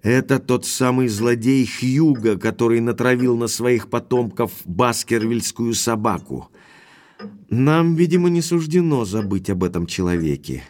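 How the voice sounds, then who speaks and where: An actor playing a frank, courteous young baronet in an audio drama. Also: male voice, medium pitch, animated, unhurried, darkish tone, lightly acted. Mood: matter-of-fact. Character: Sir Henry, frank young baronet back from America. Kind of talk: Russian audio drama acting.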